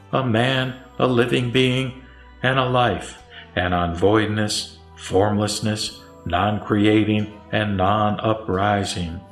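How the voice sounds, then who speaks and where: A man reciting scripture as a scripted narration, with measured, solemn phrasing. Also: fairly low pitch. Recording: roomy echo, music underneath. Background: background music.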